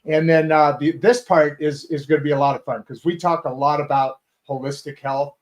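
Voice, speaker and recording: A talk show host with a slightly raised pitch, talking in a loose, rambling way. He restarts his sentences often and keeps circling back before he gets to his point. It sounds like a casual home-studio setup. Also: steady tone, moderate pace. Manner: chatty, rambling with many restarts and fillers. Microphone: video call setup from a home studio.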